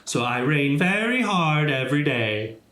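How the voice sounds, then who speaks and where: A man's voice: on the high side, very animated, sharp tone, echoey room, full character voice. A man voicing an earnest, dutiful rain spirit from a children's storybook, explaining itself in a hurry. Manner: hurried, explanatory. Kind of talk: children's storybook read aloud.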